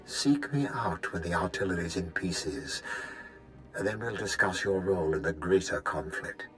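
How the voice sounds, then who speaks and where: Deep, imperious Sith lord for a game, ruthless and measured; very low register, faint score underneath. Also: male voice, expressive, fairly bright tone. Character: commanding Sith lord, ruthless male officer. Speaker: video game character. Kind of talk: game voice acting. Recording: game audio with music behind.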